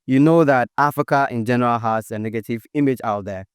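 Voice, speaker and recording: A man's voice: mid-range voice, quick pace, clear, resonant tone, dry recording, studio quality. A man interviewing a guest, asking friendly and sometimes teasing questions. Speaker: interviewer, host. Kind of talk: casual interview.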